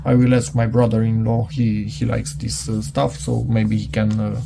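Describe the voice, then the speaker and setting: A man reviews a product hands-on in a casual, unscripted way. His voice is mid-pitched with a lot of gravelly fry, and he speaks haltingly, as if working things out aloud. There is clear noise in the recording. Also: level tone. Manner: hesitant, halting, muttering to himself.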